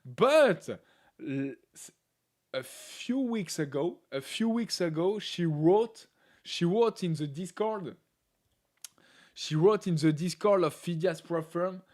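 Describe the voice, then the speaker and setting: A man hosting a live stream, chatting casually in English with a non-native accent, laughing often and filling pauses with "uh". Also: high-pitched, lively intonation, darkish tone. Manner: laughs often, talks with many "uh" fillers. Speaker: livestream host.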